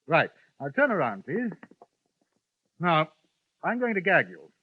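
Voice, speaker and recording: An actor playing a polite, drily menacing gentleman gunman, who also narrates in 1950s radio-drama style. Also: fairly high pitch, huge pitch swings, unhurried, exaggerated voice. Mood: cool menace. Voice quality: crisp, formal, drily menacing. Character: cool, polite gentleman gunman, middle-aged man. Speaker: narrator and lead. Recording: tinny old radio broadcast, faint hiss.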